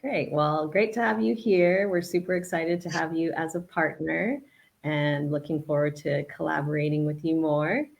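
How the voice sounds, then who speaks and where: A woman's voice: deep voice, steady tone, normal speed, vocal fry. A woman hosting an online interview for her group, upbeat and friendly as she introduces and questions her guest. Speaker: host, group founder. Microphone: video call.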